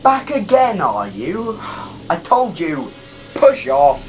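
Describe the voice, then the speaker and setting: A man's voice: high voice, wildly swooping pitch, slow, vocal fry, slight background noise, exaggerated voice, low-quality recording. A man in a fan audio drama playing a dizzy, irritable, newly regenerated alien time traveller with an erratic manner. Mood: irritated. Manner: erratic, exclamatory.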